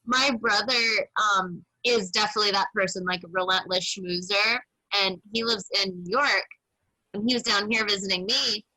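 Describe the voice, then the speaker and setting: A woman's voice: medium pitch, moderate pace, sharp tone, no echo, low-quality recording. A woman in an improv troupe chatting over a video call, bubbly and talkative, laughing often with a voice that cuts through. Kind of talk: casual chat. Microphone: video call mic.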